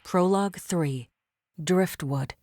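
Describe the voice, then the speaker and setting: A woman narrates an adventure audio drama in a deep, low register. Her delivery is scripted and dramatic, set over loud music. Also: slow.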